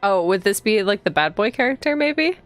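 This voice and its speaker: Casting: female game streamer, clear lowish voice, casual and expressive reactions, soft music underneath. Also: moderate pace, no echo. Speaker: streamer playing a visual novel. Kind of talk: reading game dialogue aloud with casual live reactions.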